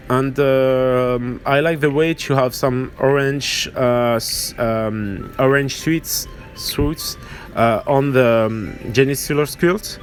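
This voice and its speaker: This is a man with a French accent, a native French speaker, talking at an unhurried pace in a slightly high voice. He hesitates and gropes for words as he hosts an informal hands-on tutorial. Soft music plays underneath. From France or French-speaking region. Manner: hesitant, halting, searching for words. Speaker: miniature painting tutorial host.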